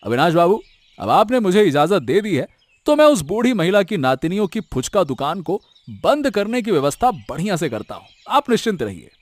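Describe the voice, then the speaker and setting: A man acting a high-pitched, fawning village schemer. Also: very animated, normal speed, no echo. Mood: fawning, scheming. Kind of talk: animated moral story dialogue in Hindi. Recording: music behind the voice.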